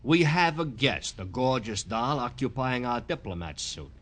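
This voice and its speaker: A man's voice: medium pitch, expressive, moderate pace, a bit bright. A man playing a wisecracking, sarcastic flophouse desk clerk with an American accent in a 1950s radio drama, streetwise and mock-formal. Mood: sardonic.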